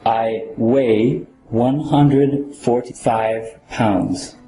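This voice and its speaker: A man playing a citizenship applicant in a scripted mock interview, answering slowly and carefully. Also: medium pitch, warm tone, slight background noise. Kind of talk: scripted role-play. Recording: echoey room.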